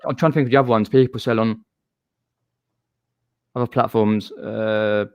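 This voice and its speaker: A man's voice: fairly low pitch, fairly even intonation, normal speed, darkish tone, clear, resonant tone, no echo. A man with a southern English accent hosting a casual live-stream chat, rambling and chatty with frequent restarts. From southern England.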